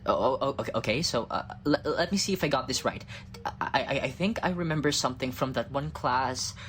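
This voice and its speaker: A man's voice: medium pitch, fairly quick, a bit bright, slight background noise, natural voice. A male voice actor playing an exasperated, sarcastic scientist in an ASMR audio roleplay, whiny and weary. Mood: flustered.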